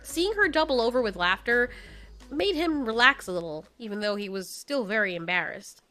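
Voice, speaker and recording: A woman narrating a scripted story in an expressive, storytelling style. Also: fairly high pitch, moderate pace, soft background music.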